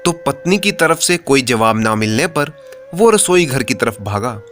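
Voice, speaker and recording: A man's voice: medium pitch, lively intonation, unhurried, a bit bright, soft background music. A man narrating a scripted, humorous moral story as a storyteller.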